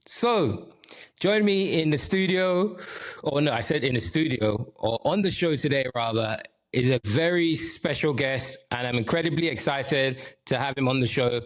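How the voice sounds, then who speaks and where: A male podcast host runs the show with upbeat, hype-style energy and sometimes fixes his own words mid-sentence. His voice sits at a middle pitch. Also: normal speed. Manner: upbeat, hype-style intros with self-corrections. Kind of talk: podcast interview hosting.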